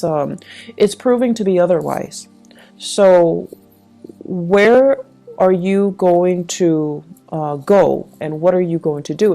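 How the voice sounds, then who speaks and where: A woman's voice: fairly low pitch, warm tone. A woman giving a conversational tarot reading, speaking reflectively and advising the listener directly. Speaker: tarot reader, channel host. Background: background music.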